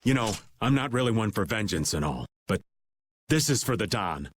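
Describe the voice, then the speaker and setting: A man's voice: fairly low pitch, big pitch swings, normal speed. An actor playing a laid-back, wry male adventurer in a video game, speaking casually. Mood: grim resolve. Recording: music under the dialogue.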